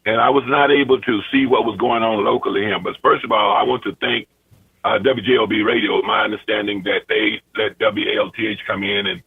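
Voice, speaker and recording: A hoarse man calling in to a radio show, speaking in a halting way and repeating himself. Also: fairly low pitch, steady tone. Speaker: caller. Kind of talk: call-in chat. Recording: tinny phone line.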